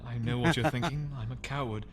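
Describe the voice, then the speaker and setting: A man playing a nervous, apologetic, cowardly freighter crewman in a sci-fi audio comic, hesitant and halting. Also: mid-range voice, close to an everyday voice. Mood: frightened, ashamed. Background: music.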